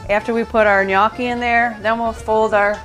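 A woman's voice: fairly high pitch, flat delivery, normal speed, a bit bright, faint music behind. Woman explaining a recipe step by step. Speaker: cook and host. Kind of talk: cooking demonstration. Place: home kitchen.

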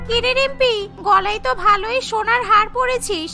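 A woman's voice: very high voice, normal speed, over music, pitched above the performer's own voice. A woman voicing a nosy village woman gossiping with her neighbours in a cartoon, prying in manner. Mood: curious, envious.

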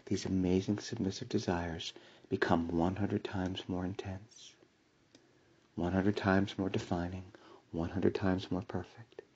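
A man delivering a slow hypnotic induction in a soft, soothing voice with breathy whispers and a repetitive commanding cadence. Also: low voice, dull audio. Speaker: hypnosis audio creator.

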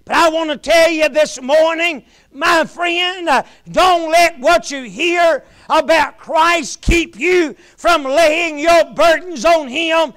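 Middle-aged male pulpit preacher, very high and bright, slow and deliberate, alternates shouting and hushed delivery. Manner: preaching in rising shouts, then dropping to a hush. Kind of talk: sermon. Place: small church sanctuary, at a pulpit.

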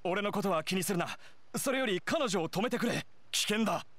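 Lively male hunter. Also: high voice, a little fast, exaggerated voice. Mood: urgent. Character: injured, grateful male hunter, game villager. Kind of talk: video game dialogue.

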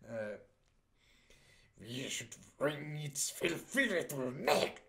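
Young male let's-play narrator, unhurried and halting, lively pitch, reads game dialogue aloud. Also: gravelly. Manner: hesitant, many fillers and restarts, reads dialogue in flat voice. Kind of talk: casual gameplay commentary, reading game text aloud.

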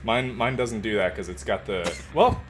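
A man's voice: lowish voice, animated, normal speed. A young man chatting casually while playing an online game with friends. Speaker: gaming video creator playing with friends. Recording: clearly noisy home headset recording.

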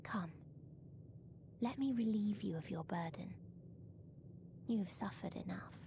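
A cold, mournful female being of despair from a fantasy game. She is breathy and solemn, with clear ambient noise around her. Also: medium pitch, slow pace. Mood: mournful, menacing. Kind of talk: dramatic video game cutscene acting.

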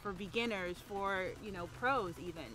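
Bright, bubbly young woman golfer. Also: mid-range voice, normal speed, faint music behind. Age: young adult. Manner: bubbly, laughs often, says "like" a lot. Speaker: long drive champion golfer. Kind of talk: casual promotional interview. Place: outdoors on a golf course. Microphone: boom mic overhead.

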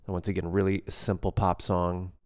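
A man giving a casual software tutorial as a music production instructor, explaining plainly step by step. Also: deep voice, little pitch movement, moderate pace, clear, resonant tone, no echo, studio quality.

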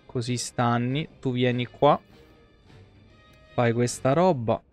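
Clear-voiced guy streaming a game. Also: mid-range voice, takes it slowly. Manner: blunt, sweary, grumbling. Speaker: live streamer. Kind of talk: casual live game commentary, talking to chat. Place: indoor room at home, streaming setup. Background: video game music.

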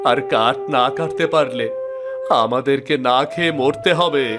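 Guy playing a poor gentle woodcutter dad, kinda plaintive, normal pitch, music under the cartoon dialogue. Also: normal speed, natural voice. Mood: worried, despairing. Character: poor, despairing village woodcutter, gentle worried father. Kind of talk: Bengali cartoon voice acting.

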